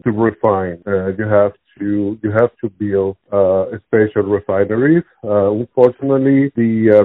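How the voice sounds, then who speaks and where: Halting Venezuelan man on a phone-like line. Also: fairly low pitch, no echo. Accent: Venezuelan Spanish. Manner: halting, searching for words, repeats himself. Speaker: interviewee. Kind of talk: spontaneous interview answers. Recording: tinny narrowband phone-like line.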